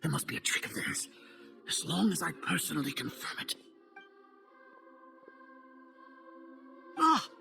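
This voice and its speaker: Arrogant older sage villain, fairly high forceful voice, theatrical, deliberate, video game drama, music behind. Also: male voice, wildly swooping pitch, creaky, heavily acted. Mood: disbelieving, agitated.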